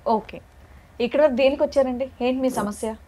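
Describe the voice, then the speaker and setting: High-pitched woman hosting a talk show. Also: a little fast, dark tone. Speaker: show presenter introducing and questioning guest.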